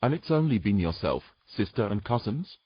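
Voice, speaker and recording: A man playing a weary, gruff knight with dry, teasing humour in a romantic fantasy audio drama. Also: on the low side, big pitch swings, normal speed, highs cut off. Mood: curious, gentle.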